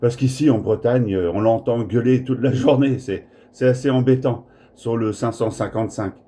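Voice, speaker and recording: A man's voice: medium pitch, normal speed, dark tone. A man chatting casually as an amateur radio operator, hesitating and repeating words. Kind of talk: casual radio contact chat. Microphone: smartphone recording in the room.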